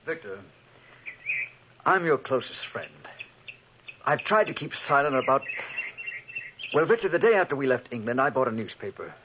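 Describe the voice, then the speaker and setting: An actor playing a loyal, earnest gentleman friend in 1940s radio drama style. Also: medium pitch, very animated, normal speed, pauses often, close to an everyday voice. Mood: earnest, troubled. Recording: noisy, muffled 1940s radio recording.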